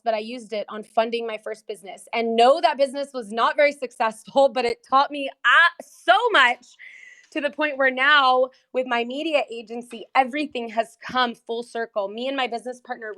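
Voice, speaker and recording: Us-born woman, portuguese first language, bit high voice, chatty podcast guest laughing between points. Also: fairly bright tone. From United States. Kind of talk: casual interview storytelling. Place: in Brazil, remote.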